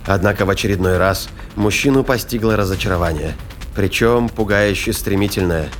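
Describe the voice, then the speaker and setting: A man's voice: lowish voice, fairly quick. A man reading scripted science fiction narration as the narrator of an audio drama. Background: dramatic music, battle sound effects.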